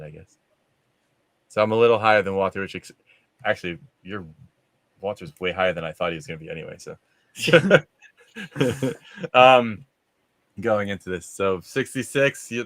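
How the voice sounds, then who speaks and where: A man's voice: sits low, a bit bright, no room sound. A man hosting a casual live movie discussion, talking in an informal, rambling, conversational way. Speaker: show host.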